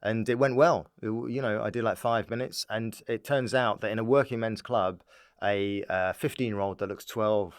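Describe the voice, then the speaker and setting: A man's voice: fairly low pitch, moderate pace, smooth, no room sound, clean studio sound. A man from Dorset with an English accent, telling anecdotes as a comic guest in playful, casual chat. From Dorset, England. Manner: playful, animated riffing, often breaks into laughter. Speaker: stand-up comic, podcast guest.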